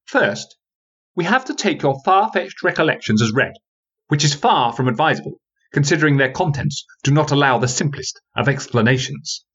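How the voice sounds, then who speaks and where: Sneering skeptical young woman lawyer, male reader raising his voice, cutting, dismissive, husky, dull lo-fi sound. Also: a little high, lively intonation, moderate pace, a bit bright, pitched above the performer's own voice. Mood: coolly dismissive.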